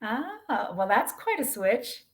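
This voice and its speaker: A woman's voice: fairly high pitch, very animated, takes it slowly. A middle-aged American woman speaking as a podcast guest, conversational and reflective, with hesitant phrasing. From USA. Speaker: podcast guest, transformation mentor. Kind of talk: casual conversational interview.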